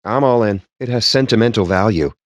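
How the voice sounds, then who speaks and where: An actor playing a cocky, foul-mouthed, nerdy poker player in a video game, with a sardonic, smug and theatrically wordy delivery. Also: male voice, lowish voice, fairly quick, dry recording, clean studio sound, lightly acted. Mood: dryly confident. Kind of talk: video game character banter.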